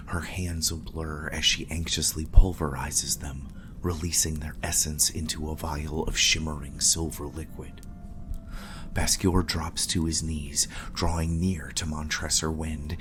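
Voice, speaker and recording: A man's voice: extremely low pitch, noisy background. A man narrating scripted gothic horror with grave, ornate storytelling. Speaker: narrator, game master.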